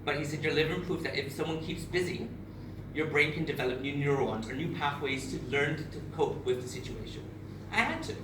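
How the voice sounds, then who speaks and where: Thirtysomething guy from barbados giving a heartfelt talk, kinda high voice, echoey room picked up from far off, noisy. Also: animated, brisk. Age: 30s. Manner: candid and emotional, with frequent restarts. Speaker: speaker at a charity event. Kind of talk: personal speech to an audience. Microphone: far camera.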